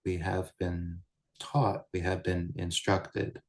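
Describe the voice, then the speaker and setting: A man guiding a meditation as a teacher, speaking in measured, deliberate short phrases with pauses between them. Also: deep voice, little pitch movement. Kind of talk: guided meditation, unscripted Buddhist teaching.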